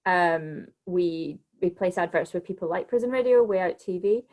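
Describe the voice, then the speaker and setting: A woman with a fairly low voice speaks off the cuff as an arts director on a panel. She thinks aloud, looping back over her phrases as she explains. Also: normal speed, darkish tone. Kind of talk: spontaneous panel talk.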